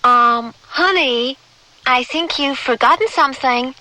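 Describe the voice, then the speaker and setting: Perky young mom in a radio ad, high bright voice. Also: female voice, a little slow, no echo, low-quality recording. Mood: cheerful. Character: cheerful young mother packing for a family visit. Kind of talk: scripted radio commercial dialogue.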